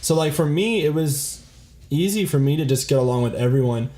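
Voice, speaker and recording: A young man from South Florida, co-hosting a podcast in relaxed casual chat, full of fillers and casual swearing. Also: a little high, fairly quick. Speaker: podcast co-host.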